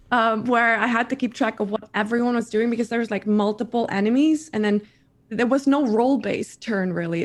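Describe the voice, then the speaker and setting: A woman in her thirties from the Netherlands with a Dutch accent, chatting as an interview guest, lively and repeating her words. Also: a little high. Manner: animated, repeats words, laughs often. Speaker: guest streamer. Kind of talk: casual interview chat.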